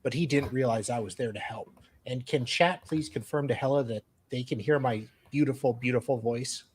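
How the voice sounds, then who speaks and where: A man's voice: mid-range voice, moderate pace, slightly rough. A man co-hosting a live stream, bantering casually and reading aloud in a playful, teasing way.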